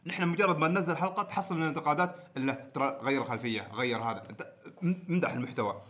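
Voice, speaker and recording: A man's voice: a little high, very fast, fairly bright tone. A man with an Omani Arabic accent chatting casually as a podcast co-host, repeating words for emphasis as he makes his points. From Oman. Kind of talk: casual chat and debate.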